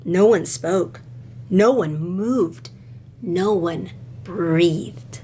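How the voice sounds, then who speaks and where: A woman teacher reading a picture book aloud expressively and explaining it to children, with deliberate phrasing and questions. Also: lowish voice, mellow, slight background noise.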